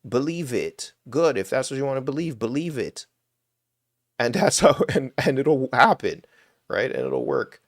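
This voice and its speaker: A man's voice: medium pitch, animated, unhurried, vocal fry, no room sound, studio quality. A man, hosting a casual live stream and giving emphatic, conversational answers to viewer questions. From Toronto, Canada.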